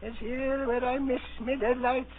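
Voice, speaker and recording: A sinister old blind mariner in a vintage radio play. His high, soft-spoken voice is unctuous and cruel. The sound is narrow and faintly noisy. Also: little pitch movement, measured pace. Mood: wheedling. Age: older. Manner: unctuous begging turning to menace. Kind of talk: 1930s radio acting.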